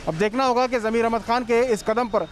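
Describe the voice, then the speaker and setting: High-pitched male Urdu newsreader. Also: fairly even intonation, brisk, slight background noise. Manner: formal Urdu news reading. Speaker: news anchor. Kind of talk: scripted news voice-over. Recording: voice-over with music bed.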